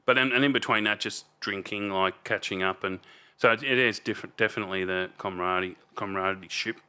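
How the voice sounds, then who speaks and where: A man in his fifties with an Australian accent and a loud voice, telling stories as a podcast guest in a rambling way, trailing off and restarting a lot. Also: fairly low pitch, quick pace, clear, resonant tone. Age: 50s. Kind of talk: casual storytelling chat.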